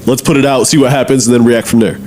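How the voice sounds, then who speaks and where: A man's voice: mid-range voice, fairly even intonation, fast, darkish tone, a little hiss or hum. A man co-hosting a podcast, chatting casually in a blunt, slangy way as he questions and reacts. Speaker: podcast co-host.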